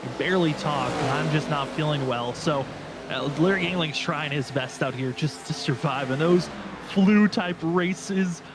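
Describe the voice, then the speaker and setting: A man with a slightly high-pitched voice commentates live on a motor race. He works as the play-by-play caller. Loud engine and vehicle noise runs behind his voice. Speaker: play-by-play sim racing commentator.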